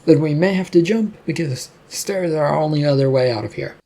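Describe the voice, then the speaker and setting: A narrator voicing a breezy, husky, reckless man. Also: medium pitch, fairly quick, dark tone, close to an everyday voice. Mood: breezy, unfazed.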